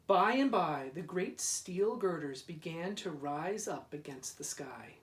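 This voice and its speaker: A man gives an expressive read-aloud of a children's story. His pace is very unhurried and deliberate, with drama in the phrasing. The sound is echoey and picked up from some distance. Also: fairly high pitch. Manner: deliberate, dramatic, drawn-out repeated words. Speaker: school principal reading aloud. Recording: roomy echo, distant microphone.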